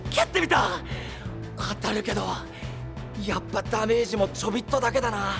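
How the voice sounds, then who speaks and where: A man voicing an energetic, reckless, good-natured young hero in a Japanese anime-style voice drama, casual and hot-blooded. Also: high voice, a little fast, vocal fry, music in the background. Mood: determined.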